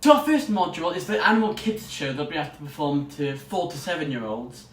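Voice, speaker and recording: A man's voice: fairly high pitch, animated, brisk. A young man, a student vlogger, chatting casually in an enthusiastic, rambling way. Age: young adult. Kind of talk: casual vlog to camera. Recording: roomy echo, distant mic, faint noise.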